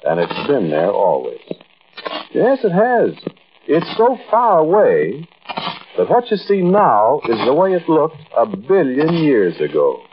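An actor playing an intense, haunted American man who confides an eerie tale straight to the listener in a 1940s radio monologue, with emphatic pauses. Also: on the high side, huge pitch swings, exaggerated voice. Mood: urgent, insistent. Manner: conversational, addresses listener directly, emphatic pauses. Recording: muffled, noisy old radio recording.

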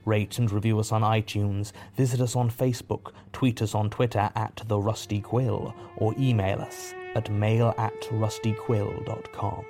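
A man reading scripted podcast credits as an announcer in a measured, matter-of-fact way. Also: fairly low pitch, level tone. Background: music.

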